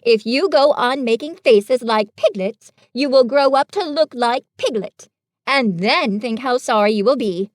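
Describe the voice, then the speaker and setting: A woman's voice: on the high side, big pitch swings, unhurried, no echo, clean studio sound. A woman voicing a cheerful, firm, motherly female kangaroo who talks soothingly.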